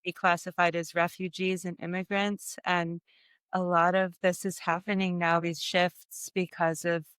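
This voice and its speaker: A middle-aged woman from Germany, a guest artist talking about her life in a relaxed, reflective way and laughing often. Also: fairly low pitch, unhurried, clear, resonant tone, dry recording. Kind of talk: conversational interview.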